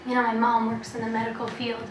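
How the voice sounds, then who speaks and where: A woman's voice: a little high, monotone, quick pace. A young American woman asking questions and chatting casually as an interviewer on location. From United States. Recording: echoey with clear ambient noise.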